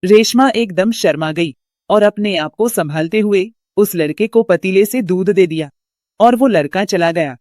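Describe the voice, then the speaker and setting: A woman's voice: mid-range voice, a little slow, a little mellow, no room sound. Female narrator for scripted storytelling; mid-range voice, recorded dry.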